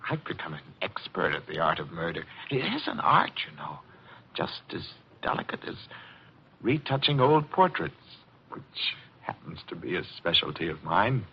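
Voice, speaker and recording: An actor playing a deranged art dealer's clerk, a polite and obsessive killer, in 1940s radio suspense style. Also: male voice, low voice, big pitch swings, normal speed, gravelly, exaggerated voice. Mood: cold menace. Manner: polite menace, theatrical. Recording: muffled, noisy old radio recording.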